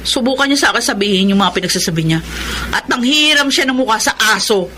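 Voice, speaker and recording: An actress playing a resentful, sharp-tongued grown daughter, scornful and accusing, in a radio drama. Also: female voice, on the high side, expressive, fast, a little hiss or hum. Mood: indignant. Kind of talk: Tagalog radio drama acting.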